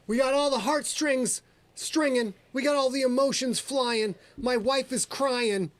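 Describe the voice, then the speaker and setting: A man's voice: very high-pitched, a little slow. A man co-hosting a livestream, tossing out crude jokes and loose banter. His talk is casual and playful. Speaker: podcast co-host.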